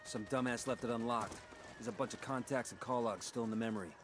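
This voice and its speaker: An actor playing a tough special forces squad leader who gives curt orders and threats. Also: male voice, mid-range voice, fast talker, over music, close to an everyday voice. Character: gruff, tough male special forces soldier.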